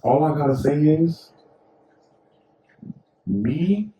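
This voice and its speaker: A man's voice: fairly high pitch, very animated, extremely slow, mellow, reverberant, a little hiss or hum. A young man co-hosting a podcast in casual, rambling chat full of slang and fillers. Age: young adult. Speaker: podcast co-host.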